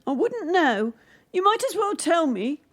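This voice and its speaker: An actress playing a determined, defiant young gymnast in a radio drama, earnest and insistent. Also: female voice, extremely high pitch, very animated, unhurried, heavily acted. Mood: guarded.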